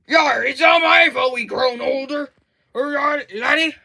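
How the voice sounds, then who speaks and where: A woman's voice: high voice, normal speed, vocal fry, fairly bright tone. A woman voicing a male pirate fox animatronic who whines and grumbles in pirate talk in a homemade plush video. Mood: protesting.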